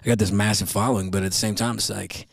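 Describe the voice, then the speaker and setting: A man's voice: lowish voice, fairly even intonation, fast talker, vocal fry, crowd noise. A man, chatting casually on a podcast as a guest comedian, loose and profane, telling stories with a drawl.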